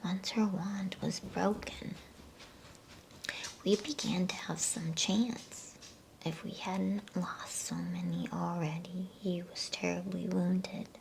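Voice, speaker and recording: A woman reading a bedtime story in a breathy, soothing ASMR whisper. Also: fairly low pitch, lively intonation, a little hiss or hum, low-quality recording. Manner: hushed, unhurried, soothing delivery. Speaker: storybook reader.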